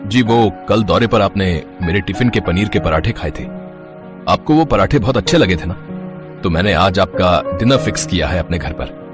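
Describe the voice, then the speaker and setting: A man's voice: low voice, lively intonation, normal speed. A man voicing a polite, eager young police officer. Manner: polite, friendly. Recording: music under the voice.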